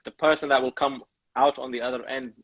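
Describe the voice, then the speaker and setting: A podcast host, a man with a middle-pitched voice, who talks in halting bursts full of restarts and fillers. He keeps heaping thanks and praise on his guest. His voice sounds close and dry, with no room around it. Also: fairly even intonation, fairly bright tone, slightly rough, dry recording. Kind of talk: casual interview chat.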